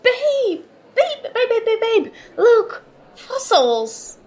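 A woman's voice: very high voice, very animated, darkish tone, heavily acted. A young woman playing a nerdy, affectionate girlfriend who gets flustered and bashful, in an ASMR roleplay. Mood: excited. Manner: bashful, halting, playfully protesting.

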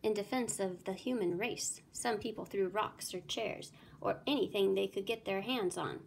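A woman with a medium-pitched voice narrates a children's picture book expressively, in the way of a teacher reading aloud. Clear noise runs behind her. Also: moderate pace.

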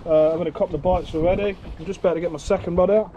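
A man's voice: sits high, fairly even intonation, rapid-fire, lo-fi sound. A man giving casual fishing commentary from a small open boat at night, talking to viewers as guys. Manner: chatty, addresses viewers as guys. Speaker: fishing vlogger. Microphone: action camera worn or mounted on the boat. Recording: loud wind noise on an outdoor camera mic.

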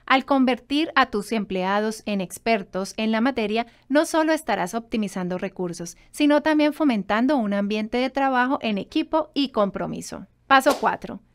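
A woman in her thirties presents scripted advice in a fairly high voice. She speaks directly to the viewer as an instructor, setting out each point in an orderly, structured way. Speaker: presenter of business advice videos. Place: indoor studio in front of a backdrop.

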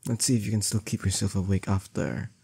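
A young man playing a strong, doting boyfriend in an intimate roleplay audio drama, coaxing and teasing affectionately with drawn-out words. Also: fairly low pitch, gravelly, mellow, breathy edge, no room sound, exaggerated voice. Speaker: voice actor.

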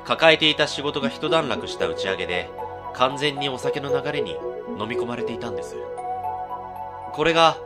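A man playing a sly, eager young office junior in a comedic radio drama, who also narrates in a hushed, dramatic tone. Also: medium pitch, lively intonation, moderate pace, long reverb tail, loud music behind the voice, natural voice. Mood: hushed narration.

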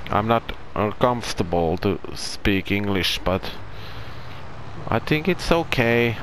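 A man's voice: on the low side, lively intonation, slow, fairly bright tone, a little hiss or hum, rough recording. A man with a Finnish accent, a non-native English speaker, doing casual commentary over farming gameplay and searching for his words. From Finland. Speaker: gaming channel host.

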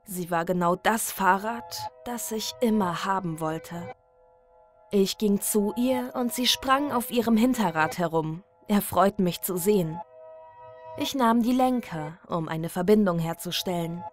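A woman reads a strange horror story as its narrator. Her delivery is very unhurried and dramatic, at a medium pitch, with soft music underneath.

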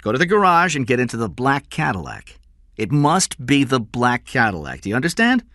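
A man's voice: medium pitch, sing-song, normal speed, fairly bright tone, no room sound, studio quality, voice pushed up. A man voicing a cold male kidnapper in an audiobook, threatening and commanding in clipped phrases. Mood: cold, menacing. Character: cold, menacing male kidnapper giving orders.

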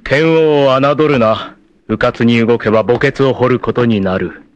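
An actor playing a vain, scheming, effete warlord villain in a cartoon, with a haughty, theatrical, word-savoring delivery. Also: male voice, mid-range voice, measured pace, lo-fi sound, close to an everyday voice. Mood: cold contempt. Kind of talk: theatrical anime villain acting.

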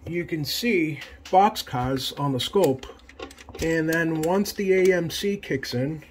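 A man giving a casual, unscripted repair demonstration in a plain-spoken, hesitant way, like a technician at his bench. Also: on the high side. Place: indoor home electronics workbench. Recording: noticeable background noise, workbench room.

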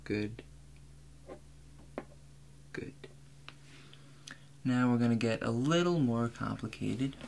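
A man in his twenties plays a doctor in a soft-spoken ASMR role play. He takes his time, giving calm, measured instructions at an average male pitch. A hum runs under the recording. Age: 20s. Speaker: role-player acting as an examining doctor. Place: small room indoors. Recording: clear steady background hum.

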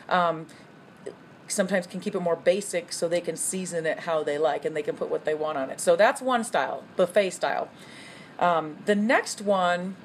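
A woman in her thirties explaining meal prep in a casual teaching talk from her kitchen, often checking in with "okay?" and filling pauses with "um". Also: on the low side, a little hiss or hum. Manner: chatty, frequent "okay?" check-ins and "um" fillers. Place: home kitchen, indoors.

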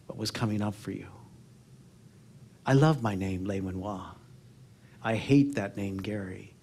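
An American man in his sixties gives a personal storytelling talk, reflective and earnest, pausing and letting phrases trail off softly. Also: fairly low pitch, husky. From Oakland, California, United States. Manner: reflective, earnest, with pauses and soft phrase endings. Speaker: public speaker. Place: theatre stage before a seated audience.

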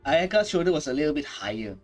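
A man's voice: on the high side, sing-song, fast talker, soft background music. A young man hosting a game reaction video, reacting in short murmured remarks from his room at home. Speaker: gaming reaction host.

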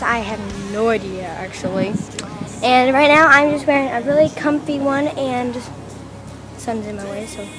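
A teenage girl talks in a bubbly, gushing way about her outfits. Her voice sits a little high. She is recorded outdoors on a phone camera propped on a mailbox, with faint music under her voice. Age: teens. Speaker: fashion vlogger. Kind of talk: casual outfit vlog. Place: outdoors in a suburban front yard. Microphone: phone camera set on a mailbox.

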